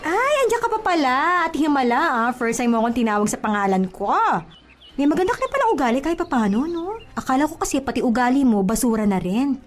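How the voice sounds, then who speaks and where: High, animated, flirty Filipina housemaid played by a woman. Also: fast talker. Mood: irritated. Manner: exaggerated, teasing, swinging between coy and scolding. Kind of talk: melodramatic Filipino radio-style comedy drama.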